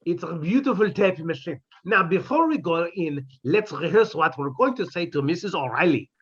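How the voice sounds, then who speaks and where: A sardonic, scheming older professor, played by a man, with a husky, lively voice and droll timing. The dull, lo-fi sound suggests an old radio recording. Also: on the high side, moderate pace. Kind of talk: 1950s radio sitcom acting.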